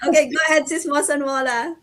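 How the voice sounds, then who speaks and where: A woman with a high voice hosts a casual livestream over a video call. She laughs easily and often stops to restart her sentences as she talks. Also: steady tone, quick pace. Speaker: livestream host.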